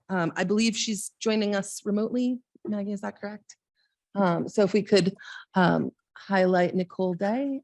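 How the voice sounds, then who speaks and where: A woman leading a church service, reading aloud and introducing speakers in a measured, deliberate way. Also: medium pitch, no room sound. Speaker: service leader.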